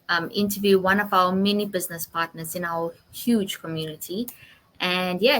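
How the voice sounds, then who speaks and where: Woman host on a video call, friendly and affirming, slightly low voice, faint background noise. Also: a bit bright, poor audio. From Zimbabwe. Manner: friendly, frequent ums, affirming "yes". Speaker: host and interviewer. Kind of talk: online interview.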